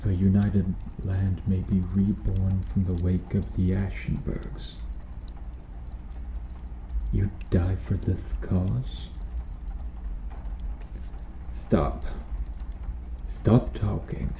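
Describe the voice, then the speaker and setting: A man's voice: deep voice, level tone, slow, pauses often, warm tone. A man playing a brooding, remorseful and protective medieval knight in an ASMR roleplay, speaking formally and solemnly with archaic phrasing. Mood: grave, questioning, then bitter, shaken. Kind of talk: ASMR roleplay, slow dramatic acting addressed to the listener. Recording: roomy echo, faint background noise.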